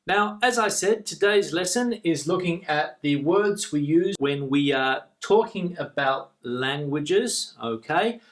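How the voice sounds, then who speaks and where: An Australian man gives an English lesson, explaining each word patiently and saying the key terms twice. His voice sits a little higher than most men's. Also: lively intonation, takes it slowly, few pauses. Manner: clear explanatory teaching, repeats key words. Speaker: English teacher.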